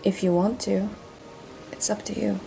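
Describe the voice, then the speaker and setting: Creaky, guarded woman pirate captain, acted by a woman. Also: medium pitch, flat delivery, moderate pace, music in the background, low-quality recording. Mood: weary, guarded. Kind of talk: dramatic audio roleplay acting.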